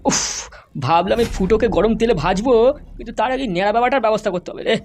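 Cartoon gangster politician, performed by a man: boastful, threatening, fast delivery in a raised register. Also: high-pitched. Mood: aggrieved, furious. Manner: menacing, swearing, boastful. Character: vengeful foul-mouthed male gangster politician, the big boss. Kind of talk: comedy cartoon dialogue.

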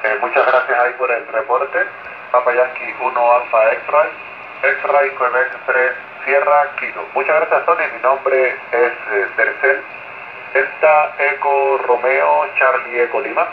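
A man's voice: medium pitch, steady tone, a little slow. Man over a noisy, narrow radio link. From Chile. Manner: courteous. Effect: radio transmission. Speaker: amateur radio operator. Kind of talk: casual ham radio contact with call signs. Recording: noisy, narrow, echoing shortwave radio reception.

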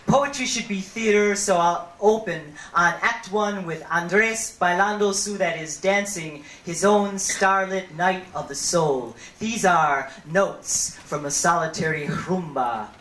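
A woman poet performing spoken word live, with a deliberate, theatrical delivery, on a handheld microphone in a small venue. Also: on the low side, fairly bright tone. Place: small brick-walled performance venue, indoors. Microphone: handheld stage microphone through a PA. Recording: echoey amplified 1990s live camcorder recording.

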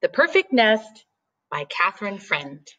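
A middle-aged woman reading a storybook aloud to children in an expressive, deliberate way, like a teacher. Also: medium pitch, muffled recording. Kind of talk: animated storybook read-aloud. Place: living room at home, indoors.

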